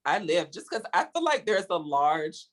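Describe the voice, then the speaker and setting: Young guy hosting a podcast, super animated, laughs a lot, casual swearing. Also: high voice, normal speed. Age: young adult. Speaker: podcast host. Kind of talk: casual chat.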